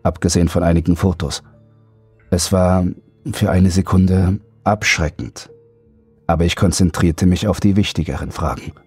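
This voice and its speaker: A man's voice: steady tone, normal speed, dry recording, soft background music. A man reading a scripted first-person horror story as an audiobook narrator. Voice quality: deep, husky, mellow.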